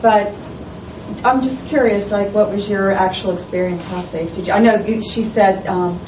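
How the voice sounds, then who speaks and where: Woman audience member, low-ish voice, halting question; far mic, noisy echoey hall. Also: fairly even intonation. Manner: hesitant, searching for words.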